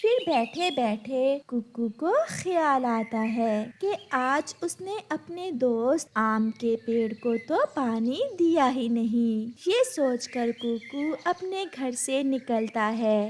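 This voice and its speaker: A woman narrates a scripted children's cartoon tale. The voice is very high and the pace slow, with faint noise in the background. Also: lively intonation, a little mellow. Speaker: story narrator.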